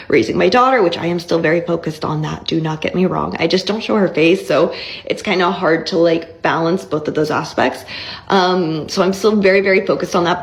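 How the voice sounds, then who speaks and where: A young woman talks to camera in a personal vlog, earnest and close to tears. Her voice is froggy and hoarse, as if it is giving out. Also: mid-range voice. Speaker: vlogger. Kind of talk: confessional vlog.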